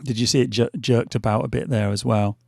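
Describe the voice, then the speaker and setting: Gravelly, hesitant man. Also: mid-range voice, fairly even intonation, fairly quick, darkish tone, dry recording, clean studio sound. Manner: rambling, hesitant, many fillers and restarts. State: losing his voice. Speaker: gaming channel host. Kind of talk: casual gameplay commentary. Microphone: headphones on, desk mic.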